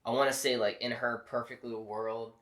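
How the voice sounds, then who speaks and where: A man's voice: medium pitch, flat delivery, brisk, fairly bright tone. A young man explaining points casually on a film review panel, with many fillers. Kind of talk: casual group chat. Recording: roomy echo.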